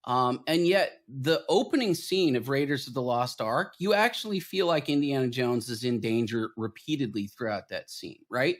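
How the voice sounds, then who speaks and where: A man hosting a casual film discussion podcast, explaining his points in an animated, emphatic way. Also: mid-range voice, moderate pace, few pauses. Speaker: podcast host.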